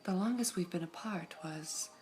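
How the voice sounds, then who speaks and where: A woman voicing a serene all-seeing female gem in an ASMR roleplay, whispering softly in a measured, formal way. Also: lowish voice, soft background music, natural voice. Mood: calm whispering.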